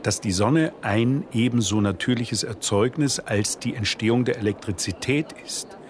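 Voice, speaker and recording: A man reading scripted literary texts as a radio drama narrator, in a measured, solemn way. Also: medium pitch, a little hiss or hum.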